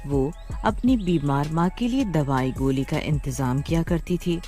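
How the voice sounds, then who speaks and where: A woman narrating a scripted story in an expressive, dramatic storytelling style. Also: deep voice, measured pace, soft background music.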